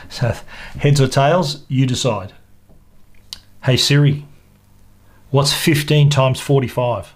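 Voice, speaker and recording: A man's voice: mid-range voice, slow pace, long pauses. Man presenting a tech product review. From Australia. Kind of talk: product review narration to camera.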